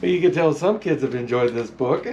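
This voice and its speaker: A man playing a jolly, kindly old Santa Claus, reading a picture book to children in an unhurried, grandfatherly way with chatty asides. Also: mid-range voice, a little mellow, dull audio, a little hiss or hum, close to an everyday voice. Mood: gentle storytelling.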